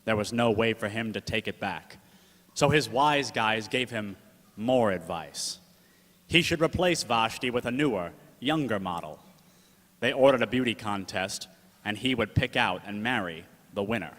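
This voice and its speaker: A man's voice: on the low side, normal speed, fairly bright tone. A man acting a slangy, fond and protective 1940s gumshoe in a radio drama. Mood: wry narrating. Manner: slangy wise-guy talk. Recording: echoey hall reverb.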